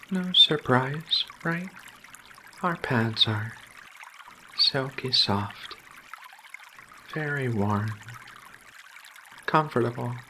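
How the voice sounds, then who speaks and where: Performer as furry female alien researcher, ASMR roleplay, soft-spoken, affectionate, very drawn-out pacing, noisy trickling ambience. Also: mid-range voice, exaggerated voice. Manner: soft-spoken, soothing, unhurried, with pauses.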